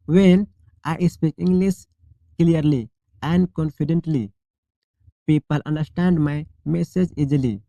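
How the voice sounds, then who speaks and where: A male English teacher leading a shadowing drill, reading each model sentence slowly and deliberately, then repeating it clearly. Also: fairly high pitch, dark tone, dry recording, low-quality recording.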